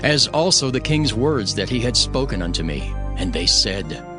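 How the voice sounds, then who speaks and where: Low-voiced solemn male scripture narrator over music. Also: sing-song. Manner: solemn, earnest, measured. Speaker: audio Bible narrator. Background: music bed.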